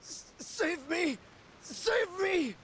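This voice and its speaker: A man playing a ridiculous, manliness-obsessed swordsman in an anime game, theatrical and halting, swinging between bluster and whimpering. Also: very high-pitched, sing-song, a bit bright, slight background noise, exaggerated voice. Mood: pitiful begging. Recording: music clearly behind the voice.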